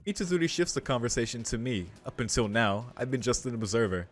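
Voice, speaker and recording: A man's voice: medium pitch, expressive, fast talker. A man doing a casual game playthrough, reading text aloud and commenting, with game music underneath. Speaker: game streamer.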